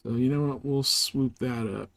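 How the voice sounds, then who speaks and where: A man's voice: mid-range voice, fairly even intonation, normal speed, creaky, fairly bright tone. A man giving casual, rambling gameplay commentary as a live streamer, explaining things as he goes.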